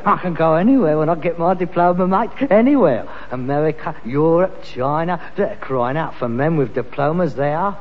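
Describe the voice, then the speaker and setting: A man's voice: a little high, lively intonation, moderate pace, hardly any pauses, natural voice. An actor with a London accent playing a frustrated, pretentious grown-up son of a rag-and-bone man in a British sitcom, grand one moment and exasperated the next. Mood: defiant, boastful. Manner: affected grandness slipping into working-class exasperation. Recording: muffled, hissy, reverberant archive comedy recording.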